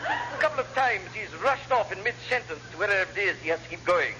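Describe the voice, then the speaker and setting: A high, swooping-voiced naval engineering officer from a classic radio comedy. He rambles cheerfully and apologetically, doubling back on his phrases, over clearly noisy old audio. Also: sing-song, fast talker, highs cut off. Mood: blithe, apologetic. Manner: rambling, chatty, repeats himself. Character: dithering, cheerfully apologetic naval engineering lieutenant, blithely incompetent. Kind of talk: classic radio sitcom acting.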